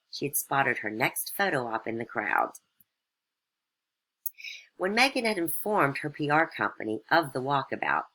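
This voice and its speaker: A woman's voice: rumbling low, bright, forceful tone. A woman reading scripted satirical fairytale narration as a storyteller.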